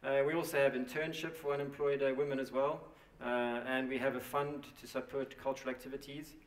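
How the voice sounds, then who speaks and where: Man presenting at a conference, halting with lots of fillers, echoey hall, mic a bit far off. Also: medium pitch, little pitch movement. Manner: halting, many fillers and restarts. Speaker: company presenter.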